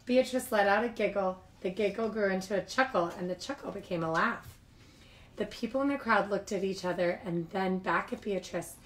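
A woman reading picture books aloud to young children like a childcare teacher, expressive and informal. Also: mid-range voice, moderate pace.

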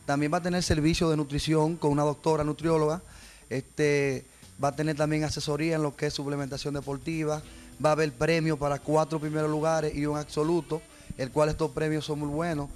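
A man's voice: on the high side, a little mellow. A young man, a gym trainer explaining his program in a TV studio interview, earnest and hesitant, into a handheld mic. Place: TV studio set.

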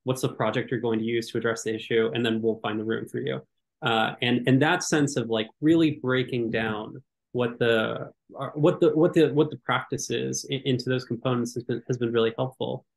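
Gravelly young man on a headset. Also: medium pitch, normal speed. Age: young adult. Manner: animated, gesturing, many repeated false starts. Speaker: curatorial fellow. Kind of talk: panel conversation on a video call.

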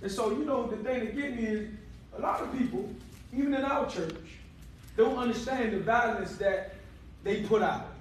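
A man preaching a sermon in a church hall, calling for responses and rising to shouts, recorded by a far-off camera. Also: high voice, moderate pace, slight background noise. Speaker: pastor.

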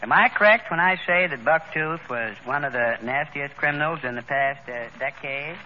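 Bumbling old radio interviewer, piercing bright voice, halting, muffled recording. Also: male voice, a little high. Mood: earnest, muddled. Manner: halting, muddled. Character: bumbling male radio crime-show interviewer. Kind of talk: 1930s radio comedy acting.

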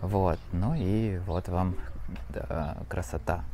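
A man in his thirties with a low voice vlogs casually from the roadside, pointing out the scenery. He is recorded on a handheld phone outdoors, with the surrounding ambient noise clearly audible. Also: steady tone, slow, warm tone. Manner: easygoing, pointing things out. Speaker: travel vlogger. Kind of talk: casual travel narration. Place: outdoors by a roadside gas station.

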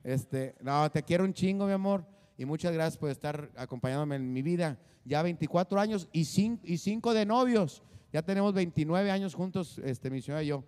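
A man's voice: fairly high pitch, animated, normal speed, darkish tone, long reverb tail. A man, hosting a casual comedic monologue with crude jokes and lots of fillers, talking into a handheld mic at a desk. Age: 40s. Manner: rowdy, crude joking, many fillers. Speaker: comedian and show host. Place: small studio set with drum kit behind a desk.

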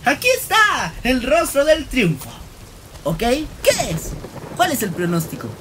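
A man's voice: very high voice, very animated, unhurried, a bit bright, a little hiss or hum, full character voice. A man voicing a manic young gangster cat in a cartoon, florid, theatrical and gleefully wordy. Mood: excited. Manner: theatrical, florid, mock-philosophical. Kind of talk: animated fan comic dub. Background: rain.

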